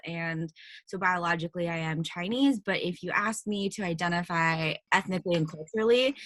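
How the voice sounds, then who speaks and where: Bright-voiced young woman, chatting. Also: medium pitch, no echo. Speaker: podcast co-host. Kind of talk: casual chat.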